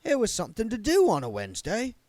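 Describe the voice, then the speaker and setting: A man's voice: high voice, huge pitch swings, fast, a little mellow, dry recording, voice pushed up. A man voicing a blunt, gloomy older working-class man, a reluctant vampire count, in a book read aloud. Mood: wistful.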